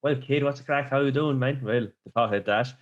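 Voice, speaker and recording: An Irish man from Limerick, a podcast guest telling stories in casual chat, talking fast and laughing often. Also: medium pitch, lo-fi sound. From Ireland. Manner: chatty, laughs often, fast storytelling with quoted asides.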